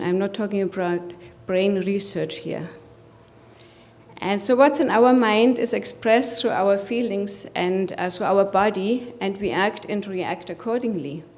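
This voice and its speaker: A woman's voice: medium pitch, a little mellow. German-accented woman giving a motivational talk. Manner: addresses audience with rhetorical questions. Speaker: conference speaker. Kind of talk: motivational conference presentation.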